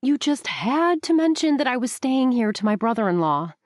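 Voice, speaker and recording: A woman reading the part of an earnest young woman who stubbornly defends her choices, her voice lifted a little higher. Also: sing-song, moderate pace, no room sound, studio quality. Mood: defensive.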